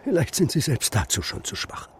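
A male actor plays an old, stubborn herdsman in a children's radio drama. He is gruff and commanding, with lively swings in intonation. Faint background sound sits behind the voice. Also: mid-range voice, a little fast, slight background noise, lightly acted. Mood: grim, urgent. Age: older. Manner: terse, grave, commanding. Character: gruff old grandfather, stubborn steppe shepherd.

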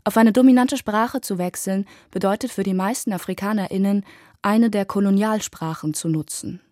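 Female radio reporter, mellow tone, dry studio sound, measured scripted read. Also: medium pitch, dry recording.

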